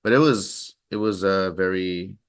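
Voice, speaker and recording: A man with a very low, deep voice, whose first language is Korean, talks things over casually with his wife on their podcast. He speaks in a relaxed, thoughtful way and often trails off into fillers. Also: measured pace, clean tone. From New Jersey, USA. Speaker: podcast co-host.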